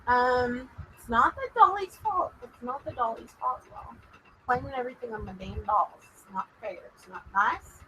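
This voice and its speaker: A woman's voice: fairly high pitch, normal speed, sharp tone, slight background noise, low-quality recording. A woman with a Texas accent hosting a casual livestream as a psychic medium, chatting and rambling to her viewers. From Texas, USA. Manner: chatty and rambling, drawling, addresses viewers as "y'all".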